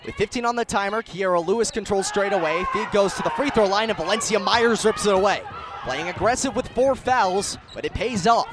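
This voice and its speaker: High-pitched young male play-by-play announcer. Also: brisk. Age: 20s. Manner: energetic, clipped descriptive phrasing. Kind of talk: live sports commentary. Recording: busy game crowd behind. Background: sports crowd and court noise.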